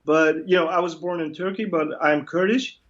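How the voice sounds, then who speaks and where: A man's voice: on the high side, fairly even intonation, moderate pace. A man, a comic illustrator chatting as an interview guest over a video call, joking about himself. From Germany. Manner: self-deprecating, joking, with restarts. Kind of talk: casual interview chat. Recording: muffled video call audio.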